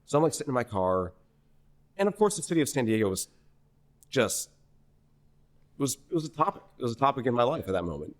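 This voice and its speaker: A young man from New Hampshire, a singer-songwriter guest in a podcast interview, telling a story in a rambling, animated way full of restarts. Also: medium pitch, quick pace, pauses often. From New Hampshire, USA. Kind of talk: casual conversational interview.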